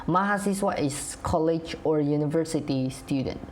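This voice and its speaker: A young man with an Indonesian accent talks to camera in English, stopping and restarting often with "uh" and "like" as he explains. The recording carries a faint background hiss. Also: on the high side. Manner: halting, many fillers and restarts. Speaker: reaction video host.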